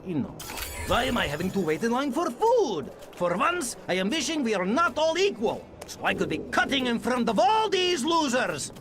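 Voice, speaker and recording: Grumbling Soviet citizen, Russian accent, broken English, theatrical, very animated, music behind. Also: male voice, extremely high pitch, moderate pace.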